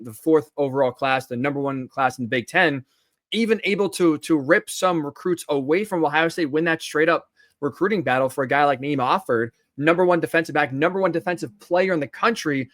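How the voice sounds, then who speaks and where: A man with a high-pitched voice co-hosting a podcast, talking college football casually and often adding "right?" to his points. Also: fairly bright tone, no room sound, studio quality. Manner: tosses in "right?" tag questions. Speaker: podcast co-host. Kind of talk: casual sports talk.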